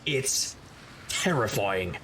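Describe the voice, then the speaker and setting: An actor playing a wry, sardonic supernatural private investigator who narrates in conversational noir style. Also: male voice, lowish voice, animated, extremely slow, a bit bright, a little hiss or hum, full character voice. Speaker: narrator and lead character. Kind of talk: noir first-person scripted narration.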